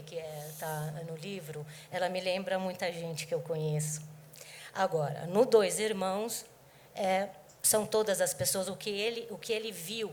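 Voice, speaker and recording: Hesitant woman on a panel, low-pitched. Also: a little mellow, slight background noise. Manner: hesitant, many fillers and restarts. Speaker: translator and panel guest. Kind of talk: panel discussion answer. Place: book fair stage.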